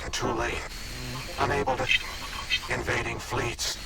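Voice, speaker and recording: An actor playing a desperate alien warning in a video game, spoken in broken fragments through a degraded transmission. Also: male voice, mid-range voice, wildly swooping pitch, gravelly, heavily acted. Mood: despairing. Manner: halting, fragmented phrases. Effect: degraded radio-like transmission. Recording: noisy, degraded transmission crackle.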